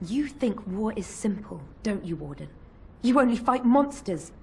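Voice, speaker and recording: A woman's voice: mid-range voice, big pitch swings, moderate pace, vocal fry, slight background noise. A woman playing a stern, loyal knight in a fantasy game, speaking in a formal, defiant way. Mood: heated defense. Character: stern, loyal female knight defending her lord. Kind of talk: dramatic fantasy game dialogue. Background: loud music.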